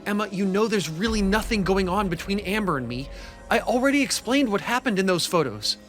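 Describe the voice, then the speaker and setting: A man playing a scheming, smooth-talking cheating fiancé in an audio drama, coaxing and insistent. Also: high-pitched, lively intonation, fairly quick, fairly bright tone, faint music behind. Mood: desperate, pleading.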